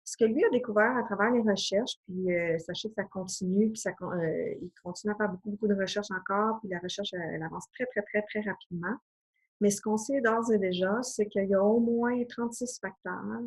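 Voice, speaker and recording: A woman's voice: medium pitch, darkish tone, breathy edge, rough recording. A woman in her forties with a Québécois accent, a health coach explaining things to camera in an earnest, casual way, with many hesitations. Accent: Québécois French. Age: 40s.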